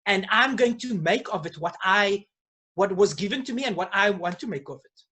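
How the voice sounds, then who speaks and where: A young man, a guest in a casual video call chat, speaking deliberately and repeating words before making his point. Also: high-pitched, animated, pushed and bright, slightly rough. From South Africa. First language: Afrikaans as mother tongue, English first language. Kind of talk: casual conversation. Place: small indoor room.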